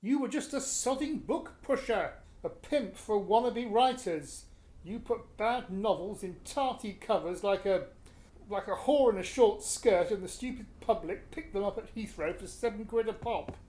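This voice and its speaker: A man's voice: sits high, normal speed, a little mellow, close to an everyday voice. Man playing an embittered British husband, echoey room. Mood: bitter, angry. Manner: scornful, exasperated, self-justifying. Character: embittered, vain, middle-aged actor husband and father. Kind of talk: radio play acting.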